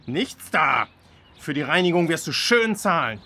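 A man's voice: fairly high pitch, very animated, measured pace, pushed and bright, exaggerated voice. An actor playing an angry, bullying well-off father in a children's audio drama, indignant and scolding.

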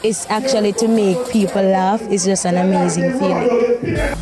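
A woman's voice: medium pitch, normal speed, loud music behind the voice. A woman in her thirties with a Jamaican accent, a comedian answering interview questions into a handheld microphone outdoors. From Portland, Jamaica. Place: outdoors at night by shrubbery.

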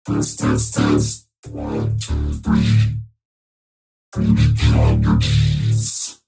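A menacing machine voice, slow and dark in tone. The vocoder synth turns his voice into an evil robot, with a soft electronic hum under it. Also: soft background music. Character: sinister robotic male synth voice.

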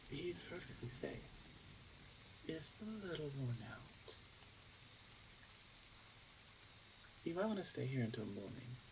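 Teasing older orc shaman lady, done by a woman, playful n smug, asmr roleplay, bit of rainy noise behind. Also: very deep voice. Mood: playful, gloating. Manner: playful, drawn-out, self-assured delivery. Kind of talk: ASMR roleplay audio drama.

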